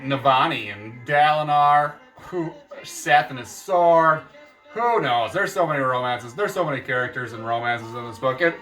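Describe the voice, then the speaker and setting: A man with a bright, forceful voice talks through his reading list in a loose, jokey ramble, often stopping to correct himself. He sits back from the camera mic, so his voice sounds a little distant, and faint music plays underneath. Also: mid-range voice. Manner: jokey, meandering, self-correcting. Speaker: book vlogger. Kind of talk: casual rambling book chat.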